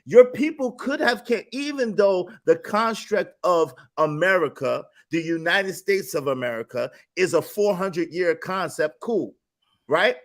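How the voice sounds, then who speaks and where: Male panel host, high voice, insistent and methodical in debate. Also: animated. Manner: measured, argumentative, stammers restarts.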